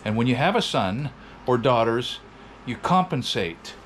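A man in his sixties from Oregon, hosting and narrating in a measured, reflective way. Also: mid-range voice, sing-song, slight background noise. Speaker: host. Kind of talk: casual chat and voice-over narration. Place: indoor wooden workshop.

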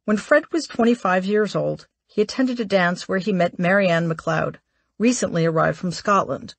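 A woman reading a memoir aloud as an audiobook narrator, in a measured, articulate way. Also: fairly low pitch, vocal fry, no echo.

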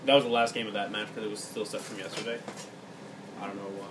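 A man's voice: fairly low pitch, little pitch movement, fast. A man playing a card game with a friend, mumbling and grumbling his moves, sometimes swearing. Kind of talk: casual chat while playing. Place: indoor room at a game table. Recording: distant, noisy phone capture.

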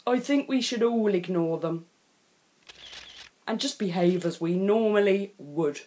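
A woman voicing a reluctant, fussy father lion in a storybook, measured, weary and disapproving. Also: medium pitch, mellow, natural voice. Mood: grumbling.

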